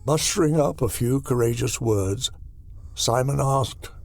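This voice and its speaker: Slow, gravelly male horror narrator. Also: medium pitch, darkish tone. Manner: deliberate, storytelling. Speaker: audiobook narrator. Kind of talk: scripted dramatic horror narration.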